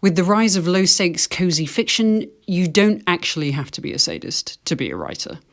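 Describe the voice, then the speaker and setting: A woman with a slightly low voice hosts a video essay. Her delivery is dry and wry, poking fun at herself as she addresses the viewer. Soft music plays behind her. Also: expressive, normal speed. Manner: dry, self-deprecating humour. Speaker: video host, fiction writer.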